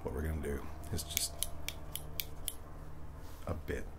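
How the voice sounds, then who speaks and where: A man softly guiding a relaxation session in ASMR style, gentle, unhurried and reassuring, his hushed, breathy voice often slipping into a whisper. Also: very deep voice, fairly even intonation, heavy background noise. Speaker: ASMR reiki session host. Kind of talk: ASMR guided relaxation, speaking softly to the listener.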